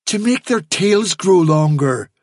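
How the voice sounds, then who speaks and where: Storybook rabbit witness, played by a male reader: high, husky, eager to please and touchy when doubted. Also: animated, moderate pace, no room sound, clean studio sound. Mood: insistent, sulky. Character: eager, deluded male rabbit witness, servile and easily indignant. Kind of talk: storybook character reading.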